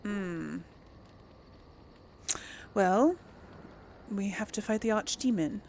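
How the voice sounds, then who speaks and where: A woman talks at an unhurried, slow pace over faint background noise. She hosts a game playthrough in an informal, chatty style, mixing commentary with casual role-played replies. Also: medium pitch, darkish tone. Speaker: let's play host.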